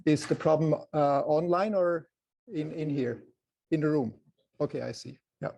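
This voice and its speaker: Soft-voiced Swiss man with creaky tone. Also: on the high side, moderate pace, highs cut off. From Switzerland. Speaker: photography presenter. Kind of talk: informal slide lecture to a live group.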